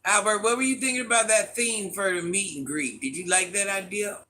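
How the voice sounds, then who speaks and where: Sharp-voiced woman teasing her viewers. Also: medium pitch, fairly quick. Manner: teasing, talks straight to viewers by name, laughs often; calls herself Granny. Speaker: livestream host reading viewer comments. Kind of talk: casual livestream chat.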